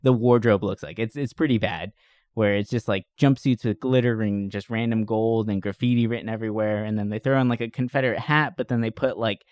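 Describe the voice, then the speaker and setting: A man hosting a podcast in casual chat, talking in an informal, joking, animated way. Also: fairly low pitch, brisk, no room sound, studio quality. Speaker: podcast host. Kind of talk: casual chat about movies.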